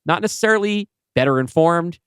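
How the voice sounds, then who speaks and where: A man's voice: fairly high pitch, expressive, brisk, fairly bright tone, clear, resonant tone, dry recording. Blunt man in a dry studio. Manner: blunt, casual swearing. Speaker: podcast host discussing an audio play. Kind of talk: casual animated discussion.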